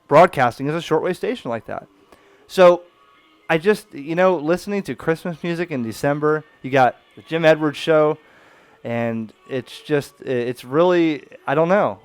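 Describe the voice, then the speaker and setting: Clear, dry-voiced man reflecting wistfully at a home radio desk, relaxed. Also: a little high, dry recording. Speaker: ham radio channel host. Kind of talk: casual video commentary.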